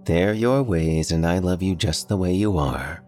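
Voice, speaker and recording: A man's voice: low-pitched, measured pace, a little mellow, soft background music, voice pushed up. A man voicing a young college-age daughter who answers patiently and a bit pointedly. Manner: patient, lightly sardonic.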